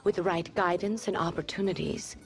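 A woman's voice: on the low side, steady tone, fairly quick, faint music behind, lightly acted. Actress as a poised, persuasive female agent. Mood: smooth, persuasive. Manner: formal, assured. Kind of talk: polished sales pitch in game cutscene.

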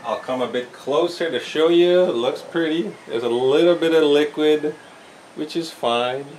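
A middle-aged man with a fairly high voice talks very slowly and gently to a camera across a small brick kitchen. The sound is roomy and distant, with a faint hiss behind it. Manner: slow, gentle, with fillers and restarts. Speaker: home cooking channel host. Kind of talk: casual talk to camera. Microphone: camera mic from across the room.

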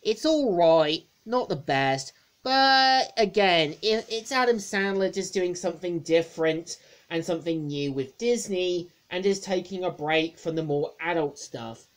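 Woman doing a casual film review, voice on the low side, kinda halting, repeats herself. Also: lively intonation.

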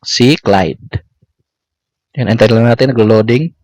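A man's voice: medium pitch, unhurried, darkish tone, no room sound. A man with a Filipino accent narrating a step-by-step tutorial as a content creator. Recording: dull lo-fi audio.

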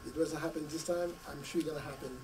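A hoarse man with a cold speaks earnestly from a podium, setting his words out in short phrases with pauses. His voice sits a little high for a man. The mic picks him up from some distance, with faint room noise under it. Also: steady tone. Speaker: school committee member. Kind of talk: community meeting speech. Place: community meeting room. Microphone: podium mic, camera at a distance.

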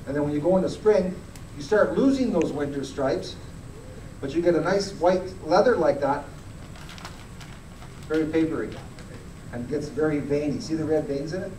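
A man's voice: fairly high pitch, moderate pace, pauses often, warm tone, slight background noise. Folksy man grading furs in an echoey hall. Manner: plain-spoken, folksy, asks audience to look. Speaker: fur grader. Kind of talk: live demonstration talk. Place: large indoor meeting hall. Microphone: far camera. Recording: echoey hall, camera from far off.

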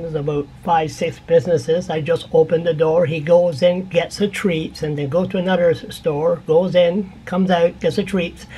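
A man's voice: fairly high pitch, darkish tone, slight background noise, poor audio. Rambling older man, casual caller. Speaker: radio phone-in caller. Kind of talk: casual chat. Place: indoor room at home.

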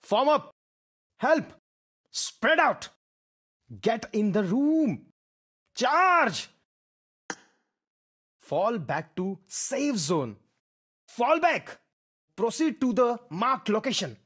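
An actor playing a game soldier who calls out short, urgent squad commands in a husky voice. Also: medium pitch, big pitch swings, drawn-out words, pauses often, fairly bright tone, full character voice. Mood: focused. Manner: urgent, commanding, clipped phrases. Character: male battle-royale soldier teammate calling out squad commands. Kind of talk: short shouted game voice-pack callouts.

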